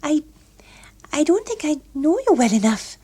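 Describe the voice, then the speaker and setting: A woman's voice: high-pitched, sing-song, moderate pace, warm tone, voice pushed up. A woman voicing a prim, polite and easily flustered young working woman in an audiobook story. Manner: polite, prim, awkward protests.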